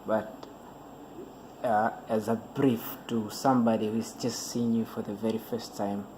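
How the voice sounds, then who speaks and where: Male TV interviewer; lower voice, brief prompting questions, audible background noise. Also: little pitch movement, measured pace, warm tone. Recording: noisy background.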